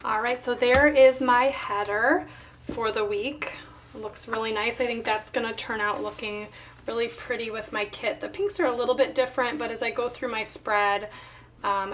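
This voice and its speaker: Woman, fairly high voice, slightly hoarse, casual craft vlog narration, thinking aloud, a bit distant from the mic. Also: a bit bright. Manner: unhurried thinking aloud while working. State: slightly hoarse, voice not recovered. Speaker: planner vlogger.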